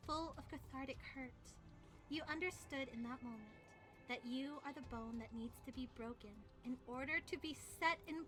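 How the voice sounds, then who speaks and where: A woman streaming a visual novel playthrough, expressive and excitable as she narrates and chats. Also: sits high. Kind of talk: casual livestream chat and dramatic narration. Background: music.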